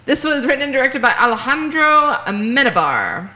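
A woman's voice: sits high, sing-song, normal speed, bright, forceful tone. An American woman co-hosting a film podcast in casual chat, jokey and slangy, swearing freely. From United States.

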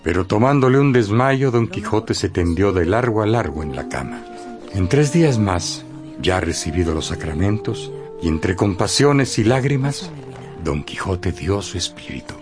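A man with a deep voice narrates a radio novel. His scripted storytelling moves through lively pitch swings over faint music. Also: normal speed.

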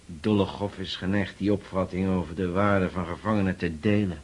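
An actor playing a grave Russian army commander in a radio drama, speaking in a terse, brooding way. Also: male voice, deep voice, steady tone, moderate pace, slightly rough.

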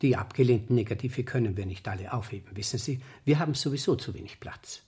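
An actor playing a deferential, somewhat flustered photo studio boss in a radio play. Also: male voice, mid-range voice, fast talker, background noise, natural voice. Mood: brisk, defensive. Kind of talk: 1970s German radio play acting.

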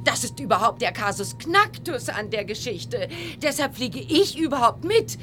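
Breezy, very animated lady reporter. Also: fairly high pitch, normal speed, slight background noise. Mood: brisk. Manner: exclamatory, theatrical, breezy. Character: Carla Kolumna, brisk, gushing, adventurous female reporter. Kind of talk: German children's audio drama acting.

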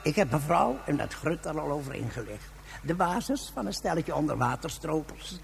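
An actor playing a stubborn ageing hermit in a science fiction radio play, rambling and philosophising in gruff, folksy slang. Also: male voice, fairly high pitch, sing-song, normal speed, soft background music, exaggerated voice. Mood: brisk, dismissive. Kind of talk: Dutch science fiction radio drama acting.

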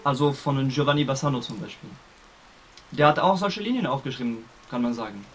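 A man's voice: mid-range voice, animated, a little fast. A man, a musician, explaining music conversationally in a radio documentary.

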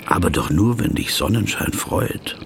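Disgruntled middle-aged traveller in radio drama: low-pitched, gravelly, pedantic, forever musing over words. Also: male voice, animated, normal speed, slight background noise. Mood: irritated. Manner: musing, pedantic, talking to himself. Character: disgruntled, brooding, word-obsessed middle-aged male business traveller. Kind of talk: introspective German radio drama monologue.

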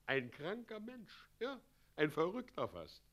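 Radio play villain: pompous, cruel mayor, bright forceful voice, very animated, imperious and mocking. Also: male voice, sits high, unhurried, full character voice. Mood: grumbling, impatient. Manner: imperious, mocking, gloating cackles. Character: pompous, gleefully cruel tyrant mayor posing as dragon slayer. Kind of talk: German radio play acting.

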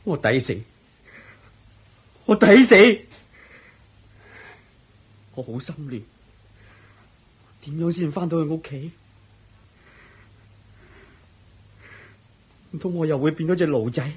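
An actor with a Hong Kong Cantonese accent playing a guilt-ridden, weak-willed village husband in a radio drama, sighing and pleading anxiously. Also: male voice, fairly high pitch, animated, normal speed, long pauses, darkish tone, slightly rough. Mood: panicked despair.

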